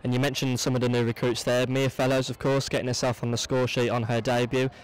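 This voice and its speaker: A man's voice: medium pitch, flat delivery, fast talker, clear, resonant tone, low-quality recording. A man interviewing for a football club, asking fluent, presenterly post-match questions.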